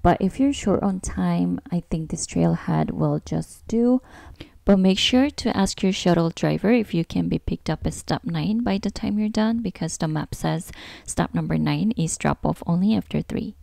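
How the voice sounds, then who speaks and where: A woman's voice: fairly low pitch, normal speed, gravelly, dark tone, dry recording. A woman narrating a travel vlog in a casual, scripted voice-over. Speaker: travel vlogger narrating a trip.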